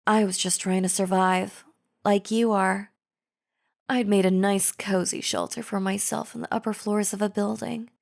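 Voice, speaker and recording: A woman's voice: fairly low pitch, a little mellow. A woman playing a chatty, falsely friendly zombie in an audio roleplay, talking casually and persuasively straight to the listener. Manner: casual, chummy, persuasive, conversational. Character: chatty female zombie, falsely friendly, coaxing flesh-eater. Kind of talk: scripted audio roleplay, addressing the listener directly.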